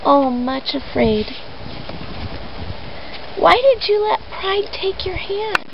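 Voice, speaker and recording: Woman acting out a slow, kindly storybook shepherd. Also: very high voice, animated, gravelly, voice pushed up, exaggerated voice. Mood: gently reproving. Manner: earnest, gently reproving. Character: kind, caring male shepherd guide, gently firm. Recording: dull lo-fi recording with background noise.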